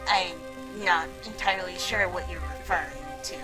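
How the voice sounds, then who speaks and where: A woman's voice: on the low side, moderate pace, loud music behind the voice, lightly acted. A woman voicing a smug schemer with polite, hesitant sales patter in an improvised comic game dub. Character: smug schemer peddling a meat giveaway over comms.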